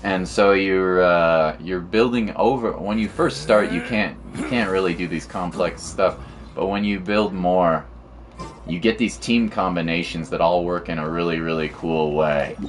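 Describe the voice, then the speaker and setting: Low-voiced man casually commentating gameplay. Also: a little slow, a little hiss or hum. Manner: rambling, informal. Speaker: game streamer. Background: game music.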